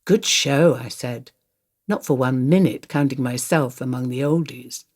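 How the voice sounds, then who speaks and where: Low-voiced older woman, wry storyteller. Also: moderate pace, mellow. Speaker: reader of a radio play monologue. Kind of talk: dramatic first-person monologue, conversational storytelling.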